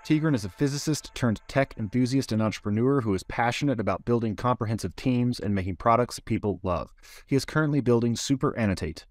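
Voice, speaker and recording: A man's voice: mid-range voice, no echo. A man hosting a podcast, introducing the episode and his guest in an earnest, explanatory way, often repeating words as he starts a phrase. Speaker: podcast host.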